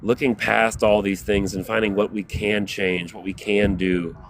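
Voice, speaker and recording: A man speaking as a guest on a livestream, thoughtful and halting, stopping often to rephrase. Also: fairly low pitch, flat delivery, slight background noise. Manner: thoughtful, halting, many "you know" fillers. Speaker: guest panelist. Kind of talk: reflective casual talk.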